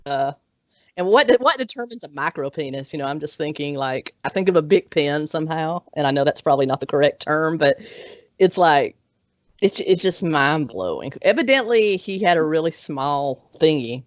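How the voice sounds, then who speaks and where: A woman in her forties hosting a podcast in casual chat, chatty and quick to laugh. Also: deep voice, lively intonation, no room sound. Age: 40s. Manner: chatty, laughs often, trails off. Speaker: podcast host. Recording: dry, dull lo-fi audio.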